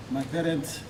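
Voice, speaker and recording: A man in his eighties from Hungary, giving slow, halting personal testimony as a guest speaker. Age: 80s. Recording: echoey hall, mic at a distance, noisy.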